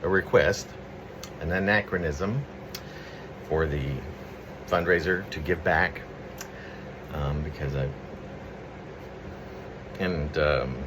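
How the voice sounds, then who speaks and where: A man speaking as a poet sharing his own work, in a rambling, halting, conversational manner. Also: a bass voice, background noise. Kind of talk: casual preamble and poetry reading.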